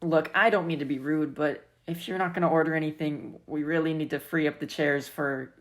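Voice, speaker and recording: A woman's voice: sits low, fairly even intonation, fairly quick. A woman playing a polite, casual coffee shop worker in a radio play. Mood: polite, firm.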